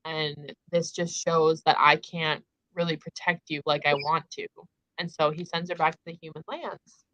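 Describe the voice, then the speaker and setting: A woman's voice: deep voice, fairly even intonation, moderate pace, sharp tone, no room sound, low-quality recording. A woman hosting a casual book discussion, retelling in an emphatic, conversational way peppered with filler words.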